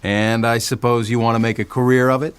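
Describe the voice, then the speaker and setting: A man's voice: medium pitch, flat delivery, a little fast, smooth, natural voice. An actor playing a cautious but supportive father in an audio drama, wry and a little hesitant. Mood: impressed, wry. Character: cautious father of a young boy, grudgingly supportive.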